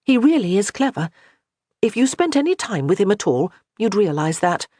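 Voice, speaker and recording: A woman's voice: mid-range voice, big pitch swings, moderate pace, dark tone, no room sound, studio quality, voice pushed up. A reader voicing a proud, blunt young noblewoman who speaks firmly and plainly. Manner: firm and forthright.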